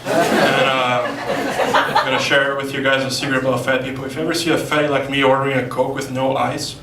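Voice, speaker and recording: Brazilian guy doing deadpan stand-up jokes, mid-pitch voice, echoey club, mic kinda far. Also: fairly quick, slight background noise. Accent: Brazilian Portuguese. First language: Portuguese. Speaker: stand-up comedian. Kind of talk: stand-up set. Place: comedy club stage. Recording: roomy club, far mic, loud crowd.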